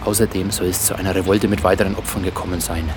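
A man's voice: deep voice, steady tone, fast talker, noisy background, lightly acted. A man playing a stubborn, indignant police inspector in a radio drama, insistent and official. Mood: determined. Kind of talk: modern German radio drama acting.

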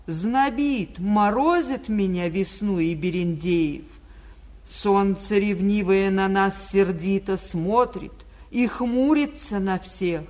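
Female spirit of Spring, wistful motherly figure, slow declamatory verse, sweeping intonation, old radio drama. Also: mid-range voice. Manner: declamatory, expressive, measured verse delivery. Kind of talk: theatrical 1940s Russian radio play monologue.

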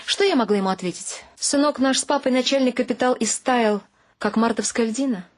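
A woman's voice: a little high, brisk, lightly acted. An actress playing a wry, dreamy middle-aged wife and mother, narrating her household life in a radio play. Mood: wry, wistful. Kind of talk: first-person radio drama narration. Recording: music behind the voice.

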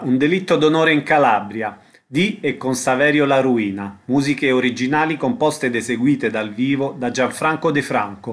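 A male radio presenter reads a prepared announcement. His voice sits at an ordinary middle pitch, in the style of a programme introduction.

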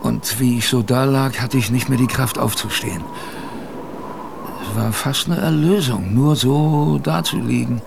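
A man acting in a radio drama as an earnest, plain-spoken storyteller recalling his youth on a polar expedition, conversational, with pauses and repetitions. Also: mid-range voice, vocal fry, noisy background. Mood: reflective, weary. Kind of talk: first-person radio drama narration.

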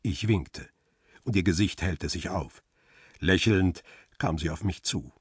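An actor playing a world-weary middle-aged photographer who narrates a crime radio play in a measured, reflective way. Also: male voice, low-pitched, breathy edge, no echo, studio quality, exaggerated voice. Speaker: first-person narrator.

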